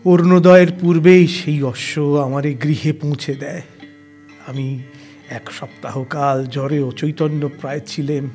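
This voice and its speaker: Troubled, devout older gentleman, male actor: slightly high voice, very slow, formal archaic diction, plucked strings behind. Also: fairly even intonation. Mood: distressed. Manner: formal archaic literary Bengali, halting with emotion. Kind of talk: dramatized audio story acting. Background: plucked string instrument music.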